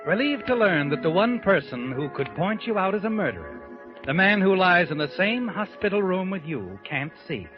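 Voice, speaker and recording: A man's voice: high voice, big pitch swings, moderate pace. An actor playing a sinister, all-knowing narrator who taunts a guilty man in a 1940s radio mystery. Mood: taunting. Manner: taunting, insinuating. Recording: dull old radio recording with music.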